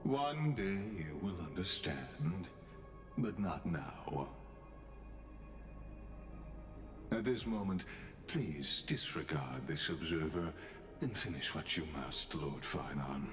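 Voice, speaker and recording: A man playing a cryptic, ancient observer in a fantasy game, speaking in grandiose, deliberate oratory with theatrical flourish. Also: rumbling low, expressive, full character voice. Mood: cryptic, polite. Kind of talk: scripted fantasy game voice acting. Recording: echoing voice over music.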